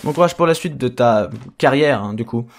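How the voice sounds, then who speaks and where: A man's voice: medium pitch, animated, moderate pace, close to an everyday voice. A man playing a weary, sarcastic henchman, hesitant and exasperated, in a comedic audio drama. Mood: resigned. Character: weary, sarcastic male henchman surveying his colleagues.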